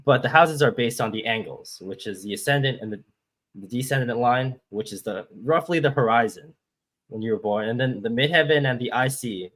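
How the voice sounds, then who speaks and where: A man's voice: mid-range voice, fairly bright tone. A man from Hong Kong, a guest astrologer, patiently explaining step by step over a video call. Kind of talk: explaining with shared screen.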